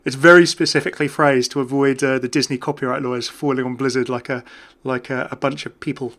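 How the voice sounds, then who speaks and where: Bright, chatty male gaming commentator. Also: medium pitch, steady tone, fast. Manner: chatty, jokey, rambling. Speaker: gaming YouTuber. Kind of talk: casual off-the-cuff game commentary.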